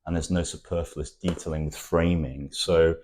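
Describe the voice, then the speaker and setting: Very deep-voiced Welsh-born man, reflective. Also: fairly even intonation, normal speed, darkish tone. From Wales. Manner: thoughtful, poetic, with frequent ums and restarts. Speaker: architect. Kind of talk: reflective interview answers.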